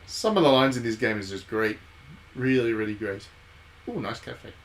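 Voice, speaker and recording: Man streaming over steady background noise. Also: mid-range voice, moderate pace. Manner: chatty, musing aloud, reads game text aloud. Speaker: game streamer. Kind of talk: casual live visual novel playthrough commentary.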